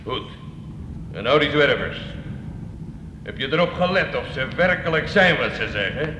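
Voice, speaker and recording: An actor playing a domineering, suspicious medieval castle lord in a radio drama, curt and contemptuous in manner. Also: male voice, on the high side, expressive, a little slow. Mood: impatient, commanding. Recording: echoey, noisy old radio play recording.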